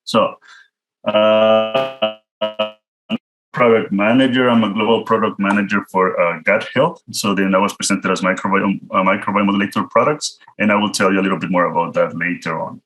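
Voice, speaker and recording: A man's voice: lowish voice, fairly even intonation, normal speed, fairly bright tone, soft background music, poor audio. A man from El Salvador with a Central American Spanish accent, telling his career story as a guest speaker in a conversational way, speaking directly to listeners. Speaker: guest speaker, product manager. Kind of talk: informal career talk, autobiographical storytelling. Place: online virtual event.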